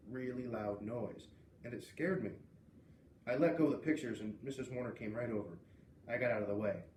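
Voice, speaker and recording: A man reading a children's book aloud as an expressive storyteller. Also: mid-range voice. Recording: roomy, distant mic with faint noise.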